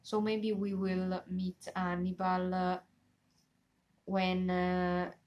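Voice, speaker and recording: A young woman in her twenties with an Italian accent, chatting casually as she reacts to a TV episode, often pausing to search for English words. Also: fairly low pitch. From Italy. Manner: searching for words, many fillers, animated hand gestures. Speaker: reaction channel host. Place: small bedroom, indoors.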